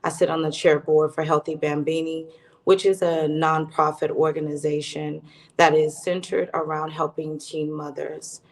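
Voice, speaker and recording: A woman's voice: deep voice, flat delivery, normal speed. A woman raised in the United States introducing herself on a business panel, listing her roles in an upbeat way. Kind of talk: panel discussion.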